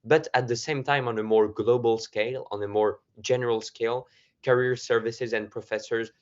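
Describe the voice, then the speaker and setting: A young man from France with a French accent, giving measured answers as a student guest in an interview. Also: medium pitch, fairly even intonation, darkish tone, clear, resonant tone. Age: young adult. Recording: slightly muffled audio.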